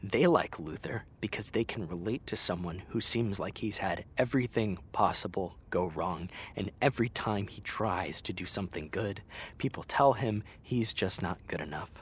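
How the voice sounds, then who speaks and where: A man's voice: fairly low pitch, normal speed, slight background noise, lightly acted. A man playing a hurt, fiery actor fed up with an old friend, speaking emphatically and sarcastically in a naturalistic audio drama. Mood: quiet, hurt.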